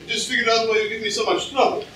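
A man's voice: very high voice, level tone, fast talker, sharp tone. A man playing a huge, good-natured, simple-minded strongman in an amateur staged comedy reading. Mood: puzzled, chatty. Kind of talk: amateur staged reading of a comic fantasy play. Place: on stage in a hall, audience camera. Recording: echoey distant stage recording, noisy.

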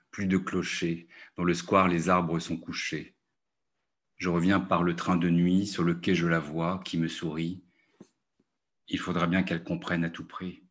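A man reciting a poem slowly and evenly, recorded over a video call. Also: low voice. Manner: slow, measured recitation. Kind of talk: poetry reading of song lyrics.

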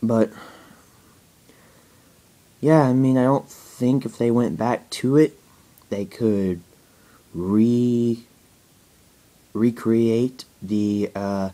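A young man answering viewer questions in a casual home video, talking haltingly with a stutter, many fillers and restarts. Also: mid-range voice, warm tone, poor audio. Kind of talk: casual Q&A talk to camera.

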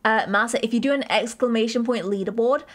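A young woman from the north of England with a softened northern accent, chatting casually and giggling as a streamer while she plays and reads chat aloud. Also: a little high, fairly quick. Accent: Northern English, softened. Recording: game music behind the voice.

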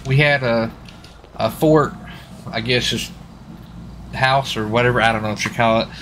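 A man's voice: medium pitch, steady tone, moderate pace, a bit bright, a little hiss or hum. A man chatting casually and informally to viewers as he streams a game, in a folksy way. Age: adult with young children. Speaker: live streamer. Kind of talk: casual live stream chat while gaming.

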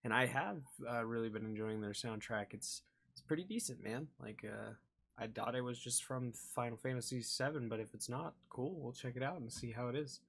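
A man's voice: mid-range voice, normal speed, gravelly, fairly bright tone. A young man giving casual commentary as a reaction video host, indoors in a small room.

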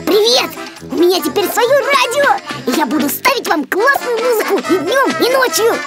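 An actor voicing an excitable young boy bear cub in a children's cartoon, with a theatrical, announcer-like delivery. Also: very high voice, moderate pace, over loud music, heavily acted. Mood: excited.

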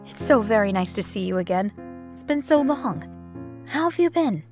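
Woman as a lively, genteel cartoon aunt. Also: medium pitch, moderate pace, close to an everyday voice. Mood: warm. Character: kindly, gracious aunt pony, sincere and gently teasing. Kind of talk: animated comic dub acting. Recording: voice under clear background music.

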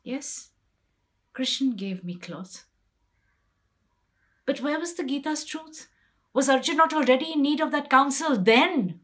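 A middle-aged woman voicing a wronged, bitter and sorrowful mythical queen in a measured, deliberate dramatic poetry recitation with hushed phrases. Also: high-pitched, animated. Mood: anguished, indignant. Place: small plain room indoors.

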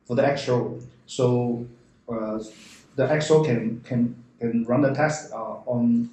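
A man from the factory staff explaining tests in a meeting room, speaking halting, broken English and repeating words. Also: mid-range voice, mellow. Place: small office meeting room. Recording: very echoey room, faint noise.

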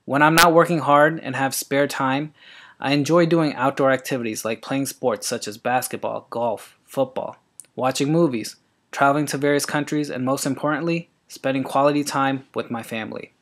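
A man from Maryland, an eye surgeon, reading his introduction and a presentation in an earnest, even way. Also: mid-range voice. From Maryland, USA. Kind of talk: read-aloud self-introduction and presentation.

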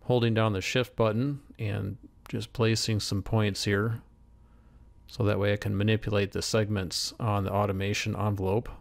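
A man giving a methodical, step-by-step software demonstration as a tutorial voice-over. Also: fairly low pitch, flat delivery, normal speed, creaky. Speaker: tutorial instructor. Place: voice-over screen recording.

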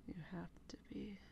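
A woman playing a teasing, wistful female vampire in a breathy, deliberate ASMR role play. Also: highs cut off, noisy background. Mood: intimate, teasing. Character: female vampire, teasing, wistful, intimately affectionate theater haunter.

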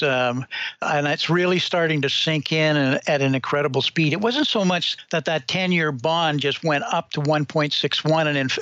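A man's voice: fairly high pitch, sharp tone, no echo, lo-fi sound. An older man, a guest economic analyst, answering interview questions in a rambling way with many restarts and repeated words.

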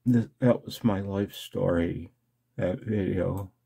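An older man speaks in a very deep voice with heavy fry. He takes his time, talking slowly and haltingly, as in an intimate, emotional personal monologue to his viewers. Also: mellow. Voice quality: gravelly, husky. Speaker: amateur radio video blogger. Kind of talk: personal screen-share vlog, casual monologue.

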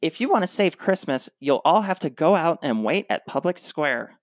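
A sardonic, reluctant hero acted by a woman shrugs through a radio comedy. Her deadpan voice sounds close and dry. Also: low-pitched, normal speed, no echo. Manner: deadpan, sarcastic. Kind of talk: comic radio play acting.

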